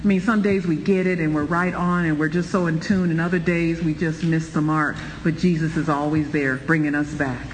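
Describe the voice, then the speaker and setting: A man leading a church Bible study, preaching with animated, exclaiming energy. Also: on the high side, normal speed, few pauses. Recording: roomy reverb, light background noise.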